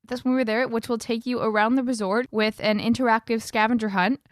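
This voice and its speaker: A woman co-hosting a podcast, steady and informative as she reads out details. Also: on the high side, quick pace, no room sound, clean studio sound. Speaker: podcast co-host. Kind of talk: reading notes in casual chat.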